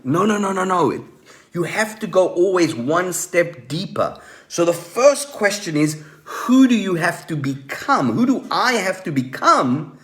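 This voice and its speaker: Male motivational coach hosting a live stream, voice on the higher side, emphatic, pausing deliberately and repeating key points. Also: sing-song, fairly bright tone. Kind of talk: motivational coaching talk to online viewers.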